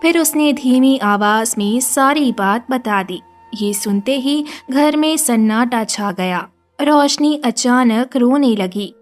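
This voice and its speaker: A woman narrating an animated children's story as a scripted storyteller. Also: a little high, slow pace. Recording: music behind the voice.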